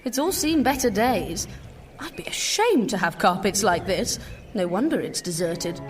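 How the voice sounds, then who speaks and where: A woman's voice: medium pitch, animated, moderate pace, far from the mic, faint music behind, natural voice. An actress playing a plain-spoken, disdainful young woman in an audio drama.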